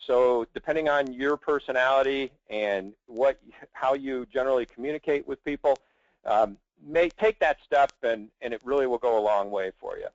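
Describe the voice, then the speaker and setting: A man's voice: mid-range voice, moderate pace, dry recording. A middle-aged man, a recruiter, giving conversational career advice in an emphatic, persuasive way. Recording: dull, band-limited audio.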